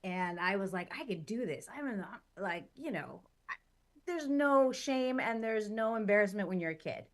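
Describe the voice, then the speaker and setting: A woman's voice: mid-range voice, expressive, normal speed. A woman born in Chile and raised in Southern California, chatting animatedly as an interview guest, with many fillers. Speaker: guest actress. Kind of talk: casual interview chat.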